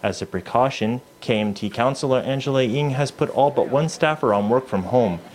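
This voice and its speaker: A man narrating a news report in a measured, formal way. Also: medium pitch, a little hiss or hum.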